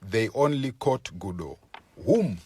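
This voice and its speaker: A male storyteller narrates a folk tale with a slightly rough, husky voice. His pitch rises and falls widely as he goes, and he takes his time over each phrase in a deliberate, measured way. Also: animated, darkish tone, no room sound. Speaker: storyteller reading a written tale.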